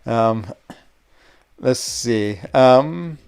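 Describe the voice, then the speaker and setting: Young American male streamer: resonant, mid-pitched, casual and rambling. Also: expressive, extremely slow, vocal fry, darkish tone, clear, resonant tone. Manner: rambling, chatty, hesitant with fillers. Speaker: gaming livestream host. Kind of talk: casual live-stream chat while playing.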